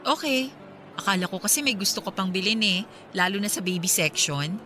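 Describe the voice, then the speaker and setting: An actress playing a candid, supportive young wife who longs for a child, in an expressive Filipino radio drama. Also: female voice, mid-range voice, brisk, slight background noise. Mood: casual.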